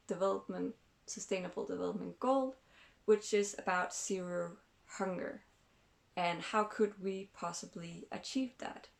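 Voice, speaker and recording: Slow, gravelly-voiced woman from Denmark. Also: mid-range voice, fairly bright tone. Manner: thoughtful, hesitant, many fillers and restarts. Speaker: channel host. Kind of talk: casual explanatory vlog.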